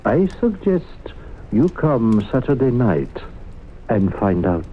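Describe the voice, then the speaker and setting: An actor playing a smooth, evasive male professor in a 1970s radio drama, formal, courteous and theatrical. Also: mid-range voice, sing-song, slow pace. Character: smooth, evasive professor claiming to raise the dead. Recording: narrow-band, noisy old radio recording.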